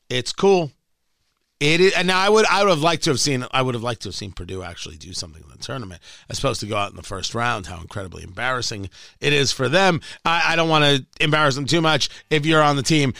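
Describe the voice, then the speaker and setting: A man's voice: fairly high pitch, pushed and bright, no room sound. A male radio talk show host whose voice is slightly strained, talking in a rambling, emphatic monologue with frequent restarts from his home studio. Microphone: studio mic, working from home.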